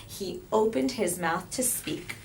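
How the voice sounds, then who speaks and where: A woman narrating a children's picture book expressively, with dramatic pauses and emphasis. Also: mid-range voice, vocal fry, slight background noise, rough recording. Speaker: storyteller.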